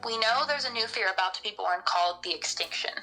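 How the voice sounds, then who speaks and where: A woman's voice: mid-range voice, fast, sharp tone, rough recording. A woman in an in-character panel discussion, reasoning things out in a matter-of-fact way. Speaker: podcast panelist in character as a researcher. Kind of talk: semi-improvised in-character discussion of a horror audio drama. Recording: music playing behind the voice. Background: music.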